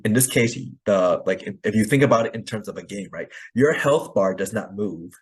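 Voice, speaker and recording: A man with a low, rough-edged voice talks casually as the host of an online discussion. He explains things informally in loose phrases, often adding a short check that listeners follow. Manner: explains with game analogies, checking with "right?".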